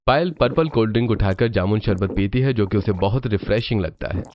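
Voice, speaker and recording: A man's voice: fairly low pitch, a little fast, no echo, muffled recording. A man narrating a scripted cartoon family story.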